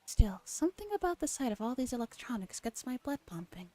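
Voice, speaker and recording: A woman streamer reading a visual novel aloud in a soft ASMR style, often dropping to a whisper. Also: on the high side, sing-song, fairly quick. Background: background music.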